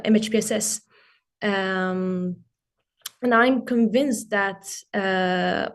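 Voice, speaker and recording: A young adult woman from Syria giving a prepared speech as a guest speaker, hesitant, with frequent pauses and ums. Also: medium pitch, darkish tone. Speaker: youth coordinator and guest speaker. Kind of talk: prepared speech at an online forum.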